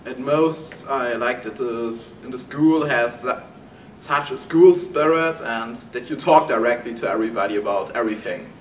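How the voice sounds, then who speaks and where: Teen male, German accent, medium pitch, self-introduction recorded away from the mic. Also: moderate pace, a little hiss or hum. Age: teens. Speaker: exchange student. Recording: echoey, distant mic, faint music.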